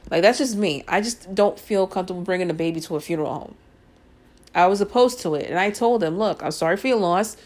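A woman's voice: fairly high pitch, a little mellow. Woman telling a story on her podcast. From Guyanese and Puerto Rican family. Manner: conversational, frequent fillers, exasperated asides. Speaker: podcast host. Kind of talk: casual storytelling monologue.

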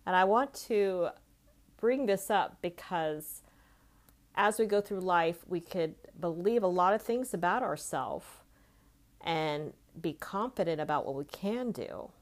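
A woman's voice: lowish voice, animated, unhurried. A woman in her late fifties speaking as a life coach, giving an informal, reflective motivational podcast monologue in a conversational way. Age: late 50s.

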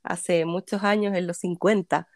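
A woman's voice: lowish voice, fairly even intonation, unhurried, a little mellow, no room sound, clean studio sound. A Chilean woman with a Chilean Spanish accent, a podcast guest who is bubbly, enthusiastic, and laughs often. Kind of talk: casual chat.

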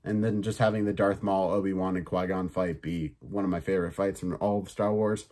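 A man with a somewhat low voice chats casually through his review, full of fillers and the odd curse. His throat sounds a bit sore, which gives him a light rasp. He talks in a loose, easygoing way. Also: fairly even intonation, fairly quick, a little mellow. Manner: loose and chatty, swears, many fillers. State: slightly raspy, sore voice. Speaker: podcast host reviewing films and shows.

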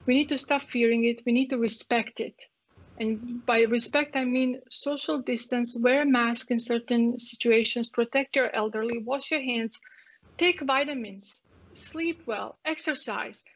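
A woman's voice: on the high side, measured pace. A woman from Croatia with a Croatian accent, a physician guest speaking earnestly with many fillers and restarts. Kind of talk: panel discussion. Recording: muffled lo-fi audio.

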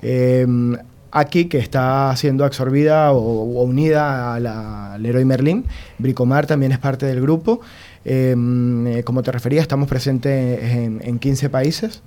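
A man with a Venezuelan Spanish accent answers questions as a radio interview guest. He speaks at a middle pitch, pausing often on fillers and restarting his phrases as he explains. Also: fairly even intonation, mellow. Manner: hesitant, many fillers and restarts. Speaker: guest, company security chief.

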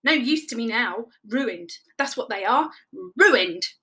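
A woman's voice: fairly high pitch, expressive, slow pace, bright, forceful tone, breathy edge, full character voice. A woman voicing a moody, indignant rabbit in a children's story, with a huffy, snappish, exclamatory delivery. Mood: outraged. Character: moody, indignant, dramatic rabbit fussing over carrots. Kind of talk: animated children's storybook character voice.

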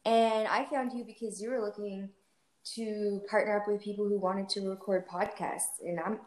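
A woman's voice: mid-range voice, steady tone, normal speed, a little mellow. A woman from Ontario co-hosting a podcast, chatting bluntly and casually. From Ontario, Canada. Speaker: podcast co-host. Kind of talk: casual chat. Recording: echoey room with faint noise.

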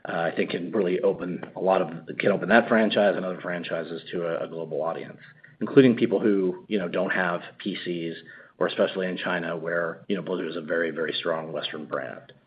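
A man speaks in a deep, rough-edged voice as an executive on an earnings call. He is conversational in his answers. The audio is a little dull. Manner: conversational, frequent "you know". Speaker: company executive.

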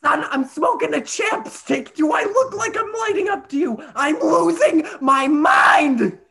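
A woman acts out a feisty old grandmother with an extremely high voice. She rambles through stories and gets indignant and scolding, in the manner of an improvised comedy interview. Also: lively intonation, moderate pace, fairly bright tone, full character voice. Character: feisty, rambling old grandmother named Mary, defensive and dramatic.

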